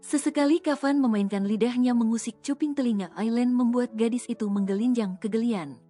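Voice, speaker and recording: A woman's voice: on the high side, a little fast. Woman narrating a romance audio novel. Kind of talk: scripted story narration. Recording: soft music underneath.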